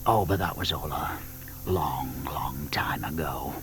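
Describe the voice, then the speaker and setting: A man playing a genial, wry old tortoise who narrates a children's audio story in an expressive, confiding way. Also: rumbling low, slow pace, a little hiss or hum, exaggerated voice.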